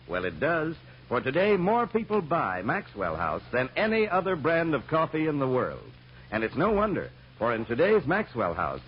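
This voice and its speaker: A man reading a scripted vintage radio advertisement as an announcer. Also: a little high. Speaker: commercial announcer. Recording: dull, noisy old radio recording.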